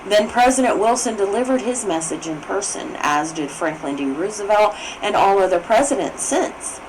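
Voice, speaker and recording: A woman reading legal text and commentary aloud in a formal, measured and careful way, occasionally stumbling on a word. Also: medium pitch, background noise. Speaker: reader, state assembly coordinator.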